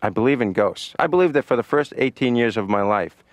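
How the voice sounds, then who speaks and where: A middle-aged man voicing a cartoon patient who tells stand-up jokes in a deadpan way, filling his lines with "you know.". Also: mid-range voice, brisk, no echo, lightly acted. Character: comedian patient on the therapy couch.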